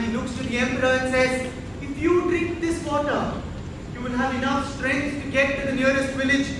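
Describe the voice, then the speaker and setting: A man playing a stern spiritual master in a stage play, speaking in a measured, theatrical, preachy storytelling way with deliberate pauses. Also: extremely high pitch. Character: stern Sufi master, teaching a young prince by parable. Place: indoor theatre stage, distant mic. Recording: echoey theatre stage from far off, noisy.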